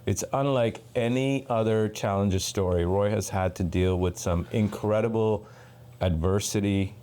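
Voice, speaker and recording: A man from Sri Lanka hosting a podcast interview, giving measured, earnest introductions. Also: lowish voice, dark tone, a little hiss or hum. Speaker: podcast host.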